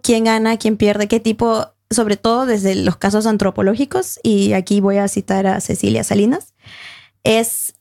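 A young woman with a slightly gravelly, fry-tinged voice. She explains ideas thoughtfully, pausing on fillers and correcting herself as she goes. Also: mid-range voice, a little mellow. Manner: thoughtful, hesitant, many fillers and self-corrections. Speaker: panel guest. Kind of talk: academic discussion.

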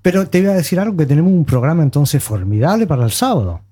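A man's voice: on the high side, very animated, racing, dark tone, breathy edge. A man in his seventies chatting casually as a panelist on a show. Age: 70s. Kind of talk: casual chat.

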